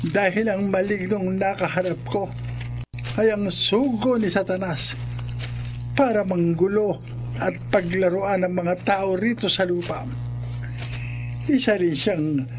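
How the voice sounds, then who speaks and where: A man playing a grave old folk healer in a radio horror drama, solemn and deliberate. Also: sits high. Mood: resolute, foreboding. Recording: noisy rustling, crickets behind.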